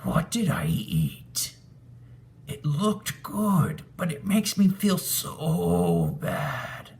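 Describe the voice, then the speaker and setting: A man reading a storybook, voicing a childlike, queasy ghost who is needy and plaintive, with drawn-out words. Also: fairly high pitch, wildly swooping pitch, creaky, a little mellow, whispered, pitched above the performer's own voice, heavily acted. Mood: sick, plaintive.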